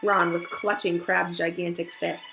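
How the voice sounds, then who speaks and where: A woman reading a storybook aloud as narrator, expressive, with casual asides to her listeners. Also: fairly low pitch, measured pace, gravelly, over music, rough recording.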